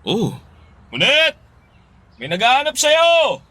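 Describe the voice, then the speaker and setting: Lover role: laid-back guy, forward bright voice, very animated pitch, radio drama. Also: slow, heavily acted. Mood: casual, unbothered. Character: casual male lover at the apartment.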